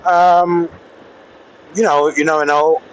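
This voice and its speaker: A man talking casually and haltingly, with pauses, as he vlogs from a motorcycle through a helmet intercom microphone. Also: on the high side, little pitch movement, clean tone, dull audio. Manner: hesitant, halting delivery with pauses. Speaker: motorcycle vlogger testing gear. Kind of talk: casual vlog talk while riding. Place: outdoors, riding a motorcycle in traffic. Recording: helmet intercom with faint vehicle and air noise.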